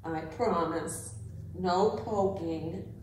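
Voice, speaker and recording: A gentle pet owner, played by a woman, speaks slowly and patiently in a stage reading. The sound is distant, with heavy hall echo. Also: on the low side, a little hiss or hum. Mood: soothing. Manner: patient, reassuring. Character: gentle woman coaxing and comforting her pet cat. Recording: echoing hall, distant mic.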